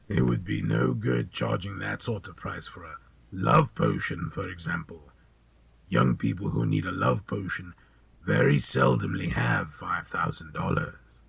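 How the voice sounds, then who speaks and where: A man playing a sly, sinister old potion seller in an audio drama, with a gravelly, husky voice and a deliberate, insinuating manner. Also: low-pitched, sing-song, fairly bright tone, dry recording, full character voice. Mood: salesmanlike.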